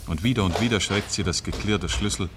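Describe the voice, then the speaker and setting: Man as solemn old-time radio storyteller, deep voice, measured literary delivery. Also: background noise, close to an everyday voice. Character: grave, ironic male storyteller. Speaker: narrator. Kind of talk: scripted narration, 1950s radio drama.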